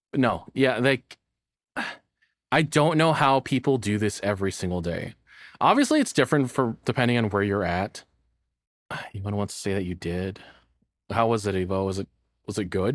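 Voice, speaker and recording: A man's voice: medium pitch, no room sound, clean studio sound. A man reacting to a video as a streamer, chatting casually and swearing freely.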